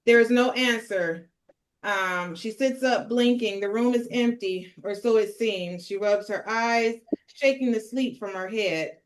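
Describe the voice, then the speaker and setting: A woman's voice: a little high, a little slow, low-quality recording. A woman narrating screenplay stage directions as she leads a table read.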